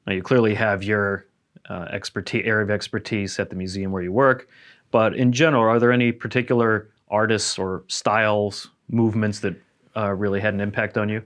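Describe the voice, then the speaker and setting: A man's voice: lowish voice, flat delivery, brisk, a bit bright. A man interviewing a guest in a historic house, asking plain, methodical questions.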